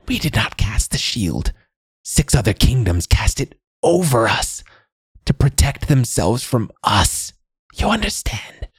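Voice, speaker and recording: Man putting on a croaking, high-pitched old woman. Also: very animated, dry recording, exaggerated voice. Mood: eager, spilling out. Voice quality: croaking, cackling, breathy. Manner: halting fragments, then eager outpouring. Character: hunched ragged old woman, eager, bitter outcast mage.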